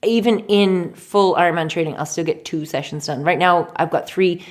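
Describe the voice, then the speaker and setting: A woman in her forties from Canada, a physiotherapist guest telling stories in a chatty, animated way in a casual interview. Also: on the low side, moderate pace. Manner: chatty, animated storytelling with laughs.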